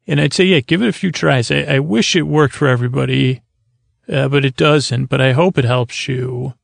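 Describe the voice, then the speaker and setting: A male podcast host speaks in a creaky, gravel-edged voice at a middling pitch. He rambles soothingly, as if easing listeners toward sleep, circling back and leaving thoughts half finished. The sound is very dry, with no room around it. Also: no room sound. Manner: meandering, halting, repeats and trails off mid-thought.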